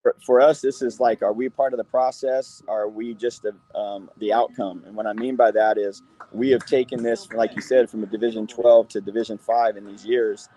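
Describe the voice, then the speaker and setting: An American man, a high school football coach, answering interview questions earnestly and repeating phrases for emphasis. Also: steady tone, normal speed, dark tone, poor audio.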